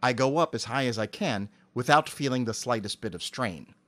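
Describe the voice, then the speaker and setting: A middle-aged man giving articulate, measured explanations as a voice actor being interviewed. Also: medium pitch, a bit bright.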